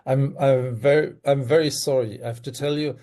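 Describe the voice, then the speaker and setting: A man's voice: mid-range voice, steady tone, normal speed, mellow. An older man with a German accent, a guest on a video-call webinar, speaking earnestly with hesitant fillers and restarts. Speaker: guest toxicologist.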